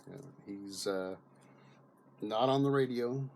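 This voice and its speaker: A man talking off the cuff in a casual, rambling vlog style, often pausing and circling back as he goes. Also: mid-range voice, a little mellow, a little hiss or hum. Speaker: vlogger. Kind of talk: rambling casual commentary to camera.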